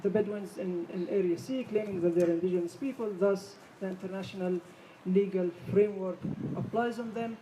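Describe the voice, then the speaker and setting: A woman's voice: mid-range voice, warm tone. Emphatic Palestinian woman lawyer, distant mic. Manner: halting, many fillers, firm and emphatic. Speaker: lawyer on a panel. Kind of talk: panel discussion remarks. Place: conference hall. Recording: distant mic, faint room noise.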